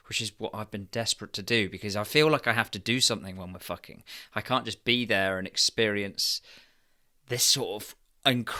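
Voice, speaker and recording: A man's voice: on the low side, sharp tone. A man co-hosting a podcast in casual, candid chat, frank and profane, rambling and repeating his phrases. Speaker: podcast co-host.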